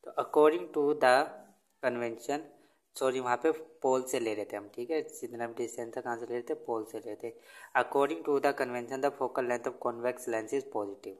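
A man teaching in Hindi mixed with English, answering his own questions, and reading English lines out slowly. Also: mid-range voice. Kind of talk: Hindi-English tutorial over notebook. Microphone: phone camera over notebook.

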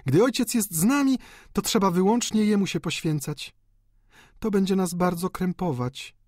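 Young devoted daughter, put on by a man, audiobook: raised pitch, affectionate, emotional, dry sound. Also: moderate pace, a little mellow, no echo. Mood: confiding. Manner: gushing, tender.

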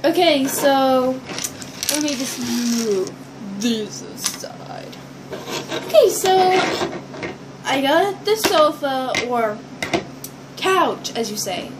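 High-pitched young teenage girl vlogging. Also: lively intonation, very slow, long pauses. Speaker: vlogger showing toy builds. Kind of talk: casual rambling vlog chat to camera. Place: small bedroom, indoors. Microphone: built-in device mic at arm's length. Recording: noisy amateur webcam audio.

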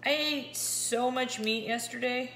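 A woman in her forties chatting casually to camera at home, excitable and a little scratchy-voiced. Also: fairly high pitch, measured pace. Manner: chatty, excitable, talks while handling a meter. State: slightly scratchy voice. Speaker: keto vlogger. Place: kitchen at home, indoors. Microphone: camera pointed down at the countertop. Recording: echoey kitchen with clear background noise.

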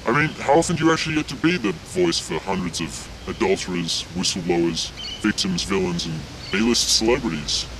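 A man who sounds like a disguised anonymous-interview voice, played by an actor, speaks deadpan and matter-of-fact. His low voice still swoops around in pitch, heard from a distance with faint noise. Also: a little fast.

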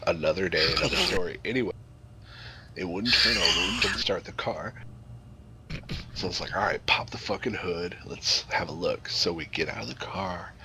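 A man's voice: low-pitched, normal speed, noisy background. A man improvising a crude comic story to a group, swearing freely and rambling in a mock-serious way. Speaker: storyteller, host.

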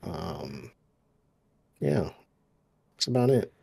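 A man's voice: low-pitched, very animated, extremely slow, creaky, warm tone, husky, dry recording. A man player introducing himself briefly with hesitant, laconic replies.

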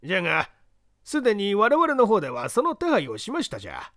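Guy doing an old police boss in an audiobook, pompous and countrified, high voice bouncing all over. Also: wildly swooping pitch, fairly quick, fairly bright tone, no room sound, clean studio sound, full character voice. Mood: self-satisfied. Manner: rustic old-fashioned official speech. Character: folksy, pompous older male police chief. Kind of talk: audiobook character acting.

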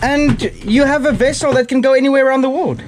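A man's voice: very high voice, fairly even intonation, fast talker, crowd noise. A man with a South African accent hosting a casual sailing vlog, chatting playfully and teasingly with his guests. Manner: playful, teasing, often adds "eh". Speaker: sailing skipper and vlog host. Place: small wooden sailboat cabin. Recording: noisy handheld camera on a boat.

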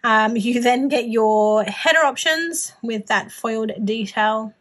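A woman's voice: fairly high pitch, slow, a bit bright. Chatty, gushing Australian woman. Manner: gushing, informal. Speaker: planner haul vlogger. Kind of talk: casual chatty product show-and-tell.

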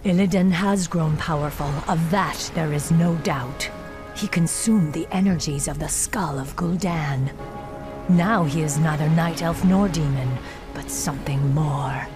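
Deep-voiced stern elf warden. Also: female voice, unhurried, over music. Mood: grim, urgent. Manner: grave, commanding. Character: stern, relentless female night elf warden commander. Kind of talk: dramatic fantasy game acting.

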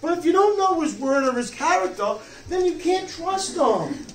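High-pitched older man preaching, roomy sound. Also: sing-song, normal speed. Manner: emphatic, rhetorical questions, check-ins like "okay?" and "you see?". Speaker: pastor. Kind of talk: sermon. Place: church sanctuary, preaching from a wooden pulpit. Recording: roomy distant mic, some background noise.

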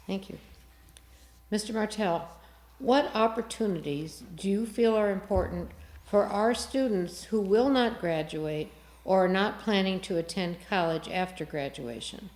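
An echoey recording of a woman moderating a forum. Her voice is medium in pitch, and she reads prepared questions in a polite, formal way. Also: a little slow, darkish tone. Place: TV studio. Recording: echoey, roomy studio sound.

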